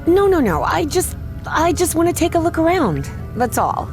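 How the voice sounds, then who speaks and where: A woman's voice: very high voice, big pitch swings, moderate pace, over music, exaggerated voice. An actress playing an eager, curious young woman explorer in a video game.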